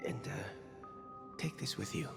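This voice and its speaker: A man playing a weary, gentle train navigator in a game, speaking in a measured, halting way. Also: close to an everyday voice. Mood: solemn, tender. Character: weary, gentle older male train navigator bidding farewell. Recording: game audio, long reverb, loud music.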